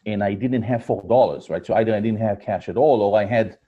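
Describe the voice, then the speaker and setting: A man's voice: on the low side, a little fast, breathy edge, narrow, tinny audio. A man in his forties with an Israeli accent, a podcast guest telling stories about his family, with many fillers and restarts. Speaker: guest, startup founder. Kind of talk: podcast interview.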